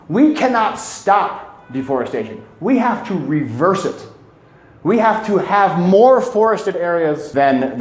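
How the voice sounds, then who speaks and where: Higher-voiced man lecturing in an echoey room. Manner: emphatic, repeats key phrases. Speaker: presenter giving a climate talk. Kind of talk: informal educational lecture to a live audience.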